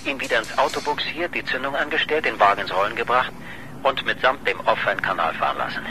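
An actor playing a pushy, sardonic reporter in a German radio crime drama, insistent and teasing. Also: male voice, medium pitch, animated, fast, pushed and bright, faint music behind, poor audio. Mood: insistent, theorizing. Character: pushy, sardonic male reporter chasing a murder story.

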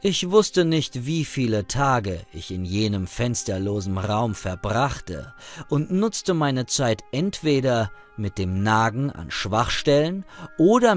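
Ein Mann spricht einen verbitterten, wilden, chirurgisch veränderten Falken, der in einem Horror-Hörspiel ernst und literarisch seine Geschichte erzählt. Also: male voice, medium pitch. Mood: grim, watchful. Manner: grave, deliberate, literary storytelling. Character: captive, surgically altered merlin falcon, embittered and savage. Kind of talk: first-person scripted horror audio drama narration.

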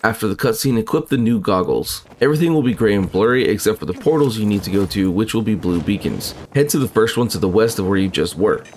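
Fast-talking, lowish-voiced male guide narrator. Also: expressive, darkish tone, slight background noise. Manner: matter-of-fact, instructional. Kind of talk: scripted walkthrough narration.

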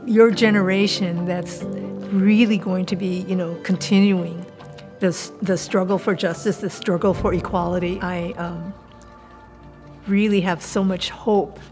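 An older woman with an American accent, speaking earnestly and in a measured way in a documentary interview. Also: lowish voice, flat delivery, vocal fry. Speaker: community activist interviewee. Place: indoor room, seated filmed interview. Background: music.

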